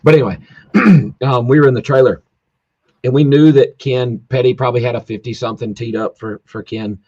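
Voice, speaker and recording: A man who races drag cars, hoarse from yelling, talking in a rambling casual way full of fillers and restarts over a call. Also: medium pitch, fairly even intonation, brisk, dry recording, dull audio. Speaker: drag racer guest. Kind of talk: casual podcast chat. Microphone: video call, home internet.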